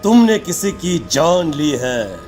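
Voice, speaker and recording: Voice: mid-range voice, very animated, slow, darkish tone, faint music behind. A performer voicing a stern male village tantric who speaks in an ominous, accusing way in a story.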